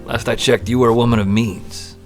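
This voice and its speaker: A man's voice: mid-range voice, steady tone, brisk, lightly acted. A man playing a hard-boiled, weary private eye in a noir radio play, with terse, sardonic tough-guy talk. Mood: brooding. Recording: music bed under voice, amateur audio.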